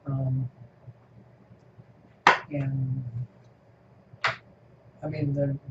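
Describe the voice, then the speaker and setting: An older man from California, an interviewee reminiscing casually in a halting, rambling way and chuckling often. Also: medium pitch, little pitch movement. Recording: echoey room, muffled lo-fi, noisy.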